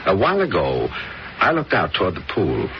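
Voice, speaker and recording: A man's voice: low-pitched, wildly swooping pitch, a little fast, slight background noise, heavily acted. An actor playing a spooked man who thinks he saw a ghost, in an old radio drama with dull lo-fi sound. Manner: uneasy, wondering. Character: spooked middle-aged man at a country house. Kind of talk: 1970s radio drama acting.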